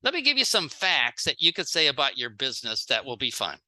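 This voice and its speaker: Seminar speaker, super bright forceful voice, lively pitch, short plain phrases then pauses for the interpreter. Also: poor audio. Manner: plain-spoken, acts out short example dialogues. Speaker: speaker giving a sales training talk.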